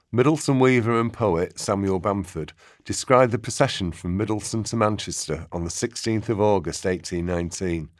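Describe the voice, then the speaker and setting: A man's voice: lowish voice, dry recording, clean studio sound. A man narrating a historical radio play in a scripted documentary style.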